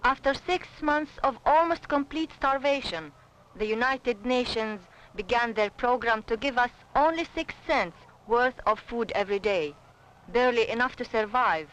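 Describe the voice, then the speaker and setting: A woman's voice: on the high side, moderate pace, fairly bright tone, slight background noise, low-quality recording. A woman narrating scripted first-person refugee testimony on a 1970s documentary soundtrack.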